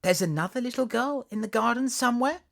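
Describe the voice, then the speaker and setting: A storyteller voicing a polite, timid young girl who asks soft, curious questions. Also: mid-range voice, fast talker, gravelly, pitched above the performer's own voice.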